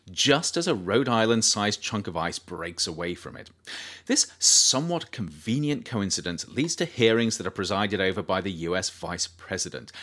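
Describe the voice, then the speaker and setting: A man reading his own nonfiction book aloud as an audiobook, with measured delivery and emphatic rises on key phrases. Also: on the low side, fairly bright tone. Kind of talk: audiobook narration.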